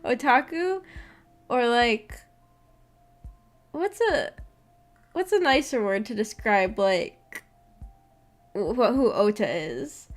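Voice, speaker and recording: A woman's voice: a little high, lively intonation, slow, long pauses. A woman livestreamer chatting casually with her viewers in a hesitant, rambling, giggly manner. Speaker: streamer and host of a visual novel book club. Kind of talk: casual livestream chat, reacting to viewer comments. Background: background music.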